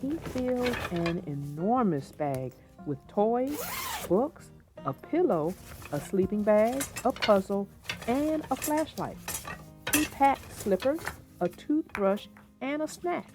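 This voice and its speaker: Slow, animated woman storyteller. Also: mid-range voice. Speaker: host and storybook narrator. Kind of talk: children's read-aloud narration. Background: music, plucked guitar.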